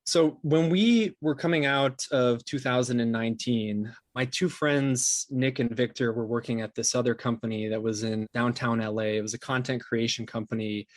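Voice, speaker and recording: A man answering interview questions conversationally as a guest, explaining things with repeated words and trailing pauses. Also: mid-range voice, dry recording. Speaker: interview guest, podcast co-founder and sound designer.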